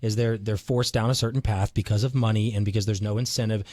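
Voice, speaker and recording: Fast-talking joking male co-host. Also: lowish voice, steady tone, mellow, no echo. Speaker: talk show co-host. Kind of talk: casual studio chat.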